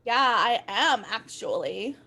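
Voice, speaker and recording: Woman as a high-voiced, haughty teen goth girl. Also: expressive, slow, pushed and bright, full character voice. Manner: sassy, text-speak abbreviations spoken out. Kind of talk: amateur dramatic reading of fan fiction.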